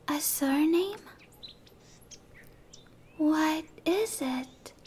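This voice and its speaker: A shy young dryad played by a woman speaks in a breathy ASMR roleplay whisper. The voice is high and quite even, moving slowly. The delivery is gentle, earnest and a little hesitant. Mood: shy, welcoming. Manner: hesitant, soft-spoken, earnest. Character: shy, gentle young female dryad, a tree-bound monster girl.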